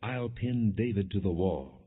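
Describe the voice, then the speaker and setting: A man's voice: fairly low pitch, animated, a little slow, breathy edge, exaggerated voice. A man reading the words of a jealous, commanding king in an imperious, brooding manner for an audiobook. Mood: resentful. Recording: muffled, phone-like narrow band.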